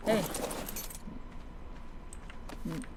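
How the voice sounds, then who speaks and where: Playful game streamer over noisy game sound. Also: very slow. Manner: playful, talks to game creatures like pets. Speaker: streamer playing a survival game. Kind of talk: casual gameplay commentary.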